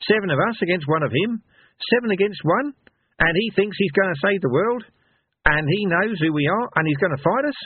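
Guy doing an older lady warrior, calm sensible reasoning, high soft-spoken, a bit husky. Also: no room sound, narrow, tinny audio. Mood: thoughtful, cautioning. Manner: measured, reasoning. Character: quiet, shrewd older woman among barbarian warriors.

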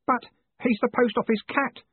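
An eager, jittery young lad from an audiobook, high-pitched and earnest, as a male narrator puts him on. The voice is very husky and breathy and sounds dry and tinny, like it comes down a phone line. It sounds thin and boxy. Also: fairly even intonation, moderate pace, no echo, exaggerated voice. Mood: worried. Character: anxious young post office boy.

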